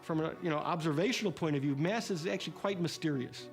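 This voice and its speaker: A man's voice: fairly high pitch, over music. A man interviewed as a physicist, talking in bursts and repeating words as he searches for phrasing. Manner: fast, stumbling, repeats words. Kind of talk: documentary interview.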